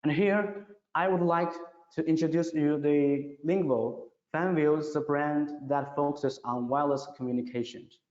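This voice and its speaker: A man presenting a scripted product webinar in a careful, halting way, now and then repeating words. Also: a little high, steady tone, darkish tone. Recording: dull, echoey, reverberant online webinar audio.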